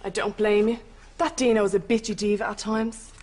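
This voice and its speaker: A woman's voice: mid-range voice, flat delivery, brisk, background noise, natural voice. A woman playing a gossipy, two-faced young trainee with an Irish accent in a broad sitcom style. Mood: gossipy, bitchy.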